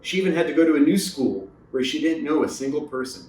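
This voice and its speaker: A man voicing a gentle, reassuring mother with a soothing, encouraging manner in a children's story, recorded roomy and distant. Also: a little high, lively intonation, fast talker, slight background noise, natural voice. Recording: roomy echo, distant mic.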